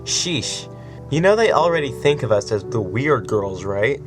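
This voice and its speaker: A woman's voice: extremely low pitch, big pitch swings, a little slow, over music, exaggerated voice. A man voicing a cocky, teasing teenage girl who turns to terrified screams. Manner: teasing and smirking, then screaming.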